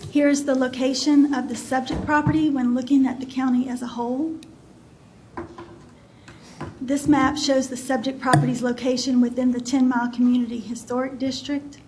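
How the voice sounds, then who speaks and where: High, mellow woman staffer reading a report in a steady, flat tone. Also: normal speed, slight background noise. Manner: steady, formal reading of figures. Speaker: planning staff presenter. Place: county meeting chamber.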